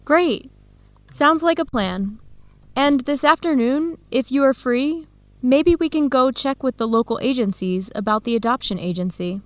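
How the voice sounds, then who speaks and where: A warm, inquisitive American woman researcher acted by a woman in a radio drama. Her voice sits fairly high, conversational and recorded with very little room sound. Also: expressive, measured pace, dry recording. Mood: appreciative. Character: Helen, warm curious American woman researcher seeking family. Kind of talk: scripted educational radio drama dialogue.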